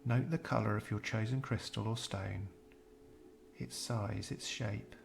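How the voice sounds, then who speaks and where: Man narrating meditation with a creaky voice. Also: on the low side, steady tone, slight background noise. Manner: measured, soothing, gentle delivery with pauses. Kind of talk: scripted guided meditation narration.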